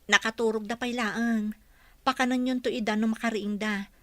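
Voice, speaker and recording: A woman's voice: fairly high pitch, steady tone, normal speed, no room sound, close to an everyday voice. An actress playing a dutiful young wife and mother in a radio drama. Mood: polite, hurried.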